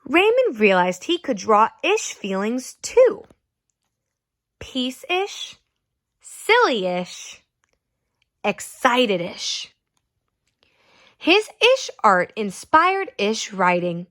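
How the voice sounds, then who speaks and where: Female teacher for children's read-aloud, highly expressive, slow deliberate delivery, child-directed. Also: dry recording, clean studio sound.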